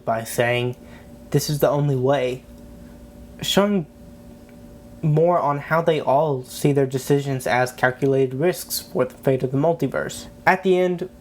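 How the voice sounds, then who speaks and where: Man, fairly high voice, congested from a cold, scripted explainer narration, subdued energy, slight background noise. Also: a little mellow. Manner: low-energy delivery. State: stuffy with a cold. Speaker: video host. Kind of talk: scripted film breakdown narration.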